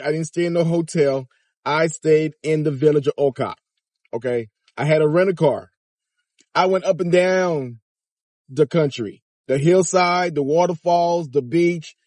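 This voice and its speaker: A man's voice: fairly high pitch, normal speed, no room sound. Chatty man vlogging from his car. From Miami, Florida. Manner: animated, chatty, addresses viewers directly. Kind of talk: casual commentary vlog. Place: inside a parked car. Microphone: phone or dash camera at arm's length.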